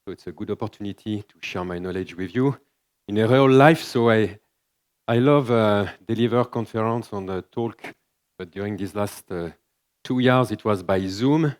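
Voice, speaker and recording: A man with a French accent delivers a keynote in a large conference hall, his English halting and full of fillers and restarts. His voice sits a little low. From France. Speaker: conference speaker.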